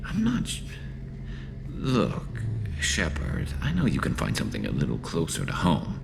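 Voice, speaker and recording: An awkward, loyal alien soldier from a video game, with a gravelly voice pitched extremely low. His delivery swings up and down, halting and wryly self-deprecating. There is clear noise behind him. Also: huge pitch swings, full character voice. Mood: awkward, nervous. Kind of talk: video game dialogue acting.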